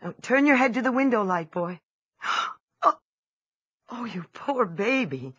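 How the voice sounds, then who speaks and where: A woman's voice: a little high, animated, measured pace, a bit bright, husky, dry recording, lo-fi sound, full character voice. An actress playing a busy, exasperated yet tender farm mother in an audio drama. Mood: concerned, pitying.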